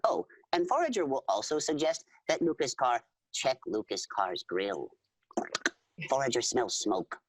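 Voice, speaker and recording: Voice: mid-range voice, lively intonation, a little slow, no room sound. A performer voicing an earnest insectoid alien in an audio drama, speaking formally and literally in the third person. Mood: pompous narrating. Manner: formal, literal, repeats full names, refers to self by name. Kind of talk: audio drama character acting and narration.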